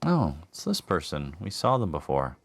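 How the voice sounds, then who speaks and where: A man's voice: sits low, huge pitch swings, normal speed, creaky, dry recording. A man hosting a let's play, commenting casually on a video game and reading bits of it aloud.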